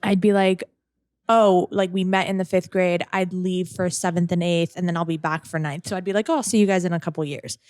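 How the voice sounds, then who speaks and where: A woman's voice: fairly low pitch, fairly even intonation, fairly quick, a little mellow, dry recording, studio quality. A young woman, a podcast guest born in France, chatting casually and rambling loosely through her story. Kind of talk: casual chat.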